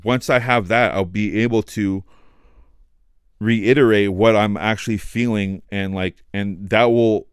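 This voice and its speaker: A podcast host with a low, resonant voice who talks loudly and passionately, cursing freely and leaning on "like". He is recorded on a dry close mic with no room sound. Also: fairly even intonation, a little slow, clear, resonant tone, no room sound. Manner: loud, passionate, swears, heavy use of "like". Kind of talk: casual podcast chat.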